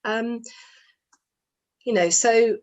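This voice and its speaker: A woman giving voice to a deaf interviewee, speaking in reflective, measured phrases. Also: on the high side, a little mellow.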